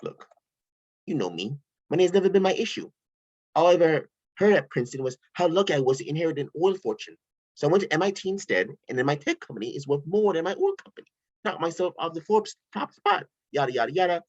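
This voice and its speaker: An eccentric tech billionaire, read by a man rambles with sarcastic self-assurance. His fairly high voice leaps all over. The home mic sounds dull and lo-fi. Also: very animated, quick pace. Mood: animated. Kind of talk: table read of a screenplay.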